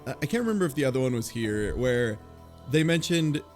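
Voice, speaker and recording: A man's voice: medium pitch, big pitch swings, fairly quick, faint music behind. A man co-hosting a podcast, talking casually about a game. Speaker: podcast co-host. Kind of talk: casual chat.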